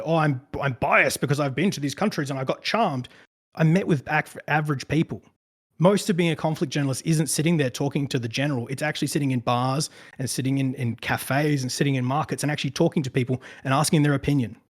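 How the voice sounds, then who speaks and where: A man who works as a conflict journalist, rebutting his opponent in a debate in a dismissive tone, with frequent "you know" fillers. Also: a little high.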